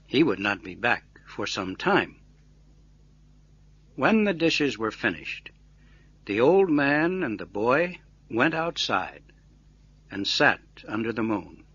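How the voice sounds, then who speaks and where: A man reading a scripted story for English learners in a deliberate, clearly enunciated way. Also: mid-range voice, big pitch swings, fairly bright tone, muffled recording.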